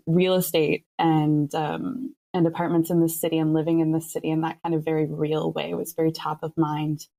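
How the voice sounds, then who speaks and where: A woman's voice: low-pitched, warm tone, poor audio. A woman speaking as a podcast creator in a casual interview, with a wry, anecdotal manner.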